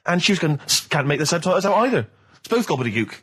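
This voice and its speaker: Animated, fast-talking Englishman with a husky voice. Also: fairly high pitch. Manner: exasperated ranting storyteller, mimics people he describes. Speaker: radio show co-host. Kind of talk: casual comic anecdote telling.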